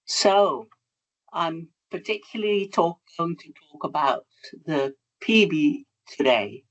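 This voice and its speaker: A woman's voice: low voice, expressive, slow pace, dull audio. A woman from the Netherlands with a Dutch accent, a bee researcher presenting in a webinar, hesitant with many fillers. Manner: hesitant, many fillers, warm about her topic. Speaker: bee researcher, guest speaker.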